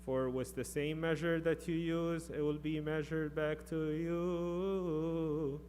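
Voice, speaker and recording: Male liturgical reader, rather high and level-toned, formal measured phrasing, slightly noisy recording with hum. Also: little pitch movement, a little mellow. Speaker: scripture reader in church. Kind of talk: formal liturgical scripture reading.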